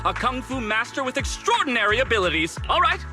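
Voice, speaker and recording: Anime game film director, excitable, dramatic about art, sharp bright tone, swooping intonation. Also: male voice, very high voice, a little fast, faint music behind, exaggerated voice. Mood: theatrical, eager. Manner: theatrical, effusive. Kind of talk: anime-style video game voice acting.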